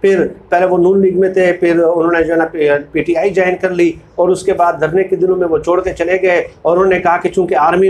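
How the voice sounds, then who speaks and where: A man's voice: a little high, slight background noise. A man with a Pakistani accent hosting informal political commentary, speaking emphatically and repeating phrases for weight. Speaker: political commentary video host. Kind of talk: informal political commentary, reading book excerpts.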